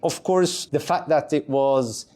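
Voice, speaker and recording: A man's voice: a little high, slow, darkish tone. A man from Turkey, a podcast guest, chatting casually and rambling with many fillers and restarts. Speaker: podcast guest, community advisor. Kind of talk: casual chat.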